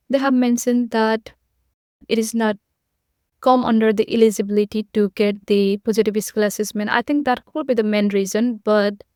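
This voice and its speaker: Young woman from nepal on a podcast, earnest and a bit rambly, clear smooth voice. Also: mid-range voice, darkish tone, dry recording, studio quality. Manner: earnest, repetitive phrasing with frequent fillers. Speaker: podcast guest. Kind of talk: conversational interview answers.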